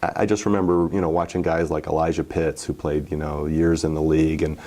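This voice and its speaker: A man in his forties, a former football player, telling stories from his playing days in a relaxed interview, with many fillers and restarts. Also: rumbling low, brisk. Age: 40s. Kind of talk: casual storytelling interview.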